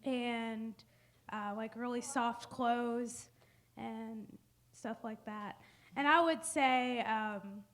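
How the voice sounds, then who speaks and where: A woman's voice: a little high, steady tone, very slow, a bit bright. A woman aged twenty giving casual, conversational advice as a panelist. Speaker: panelist at a patient support symposium.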